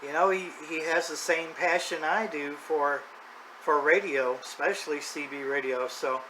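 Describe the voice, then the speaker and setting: A man's voice: a little high, normal speed, pushed and bright, low-quality recording. A middle-aged or older man talking in a casual, unscripted, plain-spoken and folksy way as the host of a hobby video. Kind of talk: casual unscripted vlog monologue. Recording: clear background noise, ambient sound.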